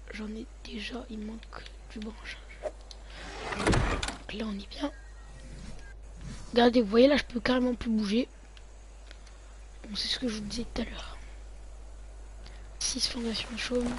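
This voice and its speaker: A male gamer talking viewers through his gameplay, often muttering half to himself between remarks. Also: very high voice. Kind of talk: let's play commentary. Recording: noisy home recording.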